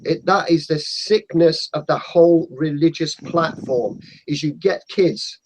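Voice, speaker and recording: A man's voice: on the high side, unhurried, a little mellow, slight background noise, low-quality recording. A man from Portugal chatting on a video call, passionate and emphatic, calling the others mate. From Portugal (Madeira). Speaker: guest on an online hangout. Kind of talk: casual group chat.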